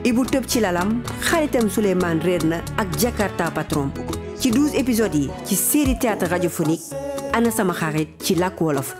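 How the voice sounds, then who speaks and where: A woman's voice: on the low side, expressive, a little fast. A woman reading scripted promotional narration for a radio drama series. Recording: voice-over on loud music bed. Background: music.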